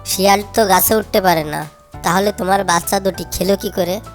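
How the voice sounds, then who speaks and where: A woman plays a big-sisterly crow from a children's cartoon. She is inquisitive and concerned, in a mid-pitched female voice. Faint music sits behind her. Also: steady tone, fairly quick, close to an everyday voice. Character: concerned, questioning crow, elder sister figure. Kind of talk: cartoon fable voice acting.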